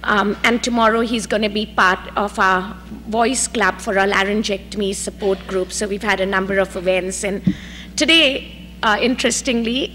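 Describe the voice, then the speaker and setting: A woman's voice: mid-range voice, a bit bright, slight background noise. Woman introducing a guest lecturer. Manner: measured, with occasional fillers. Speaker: faculty host introducing the speaker. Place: lecture hall.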